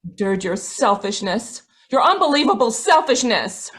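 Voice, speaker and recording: A woman playing a frightened, bitter middle-aged wife in an old-time radio drama, intense and pleading, with a husky voice. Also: a little high, sing-song, moderate pace. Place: indoors, live video call performance.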